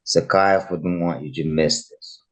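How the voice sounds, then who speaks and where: Man voicing extremely deep, husky cold villain. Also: steady tone, a little slow, fairly bright tone. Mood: cold menace. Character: cold, menacing terrorist villain. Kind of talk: video game character performance.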